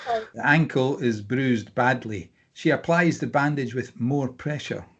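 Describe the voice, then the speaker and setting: Husky man reading stage directions. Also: mid-range voice, expressive, measured pace, lo-fi sound. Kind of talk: flat scripted narration of screenplay directions.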